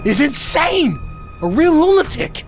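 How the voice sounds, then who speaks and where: A man playing a cowardly, terrified low-life thug who pleads in a panic, in an audio drama. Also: sing-song, a little slow, music in the background, full character voice. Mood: panicked pleading.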